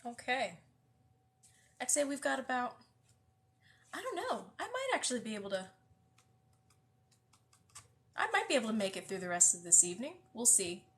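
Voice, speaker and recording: A woman's voice: fairly high pitch, big pitch swings, moderate pace, pauses often, a bit bright. A woman with a slightly nasal, congested voice, hosting a live book reading and chatting casually with viewers, with many fillers and restarts. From US West Coast. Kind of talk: casual livestream chat greeting viewers.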